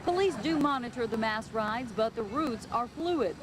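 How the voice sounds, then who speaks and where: Woman doing tv news report, higher voice that moves around a lot, city traffic noise behind. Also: lively intonation, normal speed. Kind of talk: scripted TV news report narration. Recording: clear traffic and street noise.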